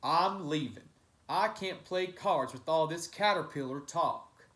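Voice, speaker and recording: A man's voice: a little high, unhurried. A man voicing a rude, blustering troublemaker in a children's storybook. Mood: indignant. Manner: loud, blustering. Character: rowdy, rude, mischief-making ruffian pals.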